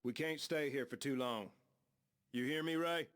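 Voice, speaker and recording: An actor playing a practical, roguish outlaw brother of the Old West. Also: male voice, mid-range voice, normal speed, a bit bright, no echo, clean studio sound, natural voice. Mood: concerned. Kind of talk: cinematic Western game acting.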